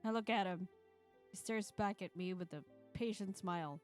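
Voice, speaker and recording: A woman's voice: medium pitch, normal speed, faint music behind. A woman streamer reading a visual novel aloud, with playful comments and frequent laughs. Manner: playful, laughs between narrated lines.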